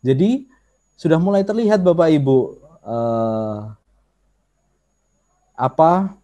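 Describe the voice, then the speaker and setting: A man's voice: a little high, big pitch swings, takes it slowly, mellow. Javanese-accented man teaching an online workshop. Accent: Javanese Indonesian. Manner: explains patiently, addresses audience often. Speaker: workshop instructor. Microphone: video call.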